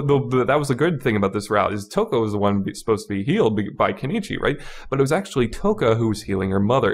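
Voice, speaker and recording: A man hosting a review podcast in a casual, opinionated style, rambling emphatically and repeating his words as he argues his points. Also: medium pitch. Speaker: podcast host reviewing a visual novel.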